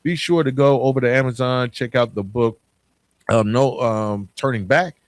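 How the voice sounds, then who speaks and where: A man's voice: mid-range voice, normal speed, dry recording. A man hosting a motivational coaching podcast, speaking earnestly and emphatically, addressing listeners directly and repeating phrases to drive them home. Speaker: podcast host.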